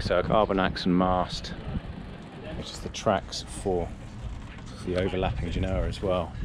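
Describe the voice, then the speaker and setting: Low-voiced man over clear background noise. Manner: clipped, fragmentary phrases. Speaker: presenter reviewing a sailing yacht. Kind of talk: casual walk-through review commentary.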